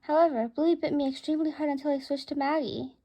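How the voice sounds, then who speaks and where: High-voiced woman reading a story aloud. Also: steady tone, fast, warm tone. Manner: stumbles and rereads phrases. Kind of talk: read-aloud first-person storytelling.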